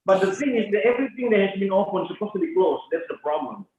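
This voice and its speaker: A man's voice: high-pitched, lively intonation, racing, darkish tone, lo-fi sound. Heard over a very echoey, phone-thin video call with some background noise, a man moderates casually. His phrasing is hesitant and repetitive. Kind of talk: casual online discussion.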